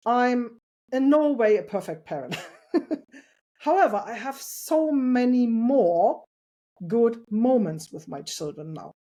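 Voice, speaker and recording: A woman's voice: on the high side, animated, slow pace. Earnest reflective woman guest. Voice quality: loud voice. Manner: earnest and reflective, with light laughs. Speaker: podcast guest, parent. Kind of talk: casual interview conversation.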